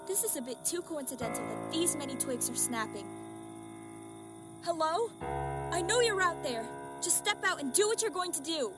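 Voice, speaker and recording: A lonely young woman speaks in a notably high voice, as in a radio play. She is anxious and introspective, doubting herself in halting musings, with clearly audible music behind her. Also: lively intonation. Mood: frightened, defiant. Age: young adult. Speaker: actor. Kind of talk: dramatic radio play monologue.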